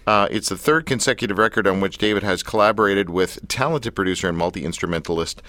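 A man's voice: sits low, quick pace, pushed and bright, no echo. A man hosting a studio interview who talks in a playful, teasing and enthusiastic way. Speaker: radio show host. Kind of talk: casual interview chat. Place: radio studio.

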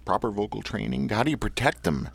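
A man's voice: lowish voice, fast talker, creaky, husky, dry recording. A man hosting a radio talk show in a studio, joking and easily wound up as he interviews his guest.

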